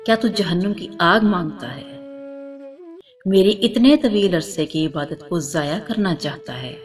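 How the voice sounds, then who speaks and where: A woman's voice: on the low side, lively intonation, a little slow. A woman storyteller voicing a pious, stern old male hermit in a solemn, admonishing manner. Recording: music under the voice.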